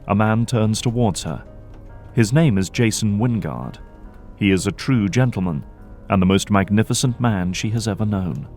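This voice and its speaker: Lowish-voiced male dramatic narrator. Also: normal speed, a little mellow, soft background music.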